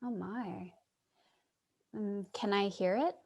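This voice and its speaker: A woman playing a concerned, reflective passerby in a staged play reading, gentle and a little hesitant. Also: medium pitch, slow pace, gravelly. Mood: concerned, curious. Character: concerned female passerby narrating her encounters.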